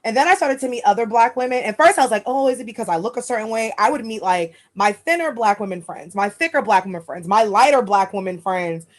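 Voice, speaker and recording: A woman's voice: fairly high pitch, animated, quick pace, few pauses. A woman from New York with a New York accent, co-hosting a casual podcast chat, talking emphatically and swearing freely. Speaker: podcast co-host.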